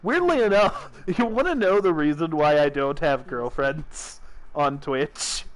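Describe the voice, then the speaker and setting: A man's voice: on the high side, animated, measured pace. Rambling, laughing male streamer. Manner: rambling, swears and laughs a lot. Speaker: streamer and podcast host. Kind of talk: casual nerdy chat.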